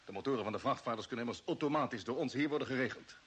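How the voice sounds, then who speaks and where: An actor playing a decisive spaceship captain in a radio drama, giving orders with brisk authority. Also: male voice, medium pitch, a bit bright, husky. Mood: commanding.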